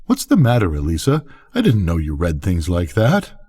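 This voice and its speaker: A well-meaning rancher husband from a storybook, played by an older man reading aloud. He is bewildered, hesitant and folksy, with a husky voice and a very lively pitch. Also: moderate pace, exaggerated voice. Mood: puzzled.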